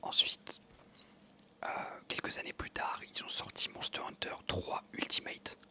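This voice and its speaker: A young adult ASMR creator, telling a story in a soft unvoiced whisper that meanders with many fillers. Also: a little slow.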